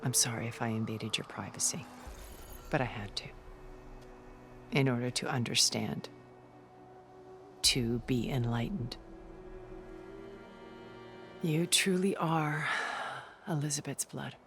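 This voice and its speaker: An actress playing a poised, persuasive immortal older woman with measured, elegant phrasing in a cinematic video game. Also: a bass voice, over music, heavily acted. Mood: earnest, admiring.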